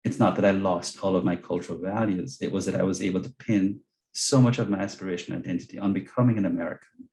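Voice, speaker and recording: A man's voice: sits low, fairly even intonation, fast, darkish tone. A man from Jamaica with a Jamaican accent, an earnest guest speaker on a video call who repeats words as he searches for them. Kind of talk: webinar talk and Q&A answers.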